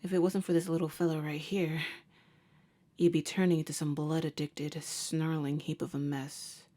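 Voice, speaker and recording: A woman's voice: low voice, little pitch movement, warm tone. A woman playing a jaded, sardonic vampire hunter who works as a nurse, talking in a wry, slangy way in an ASMR roleplay. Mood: steady, coaxing. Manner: wry, casual, slangy, unhurried. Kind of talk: ASMR roleplay audio drama.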